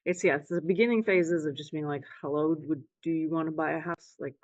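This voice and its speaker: A woman's voice: deep voice, steady tone, fairly quick, a little mellow, dry recording, highs cut off. A woman talking casually as a prospective client on an online sales call, somewhat hesitant and brief in her answers. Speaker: prospective client, part-time real estate agent.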